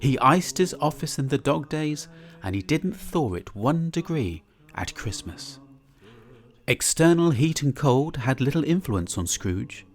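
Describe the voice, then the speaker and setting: A man's voice: medium pitch, sing-song, unhurried. A man giving a live dramatic reading of a classic tale in a church, theatrical and savouring each descriptive word. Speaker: reader.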